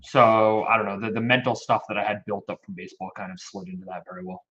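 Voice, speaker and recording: A man's voice: fairly low pitch, level tone, quick pace, fairly bright tone. A man in his thirties from Connecticut, a youth baseball program director, chatting casually and rambling in an interview. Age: 30s. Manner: rambling, with frequent restarts and fillers. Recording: dull lo-fi audio.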